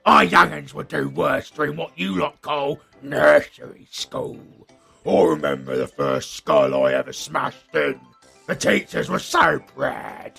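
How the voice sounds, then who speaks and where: A big muscly troll chieftain in an audio comedy, with a heavily gravelled, rough-edged voice. He is blunt, simple and cheerful, and his pitch jumps about as he talks in slow, colloquial phrases. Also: huge pitch swings, sharp tone, soft background music, full character voice. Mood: gleeful.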